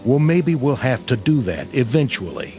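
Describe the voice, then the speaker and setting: An actor playing a battle-hardened, skeptical army sergeant in a radio drama, plain-spoken, folksy and wry. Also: male voice, mid-range voice, very animated, moderate pace, mellow, faint music behind. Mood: blunt, dry.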